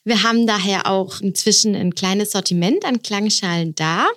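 A woman's voice: mid-range voice, normal speed. A woman hosting a podcast interview, asking friendly questions and encouraging her guest. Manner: warm, encouraging, frequent affirming murmurs. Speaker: podcast host and education officer.

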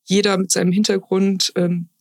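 A woman of thirty-two talks casually as a guest in an interview. Her voice has plenty of creak and fry at an average pitch. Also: flat delivery, normal speed, darkish tone, no room sound, clean studio sound. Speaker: podcast guest, hospice volunteer. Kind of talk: casual conversational interview answers.

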